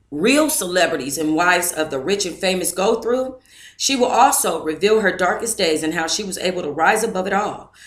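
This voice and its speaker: A woman hosting a book club, reading a book aloud on a live stream and chatting casually with her viewers between passages. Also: medium pitch. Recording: echoey room.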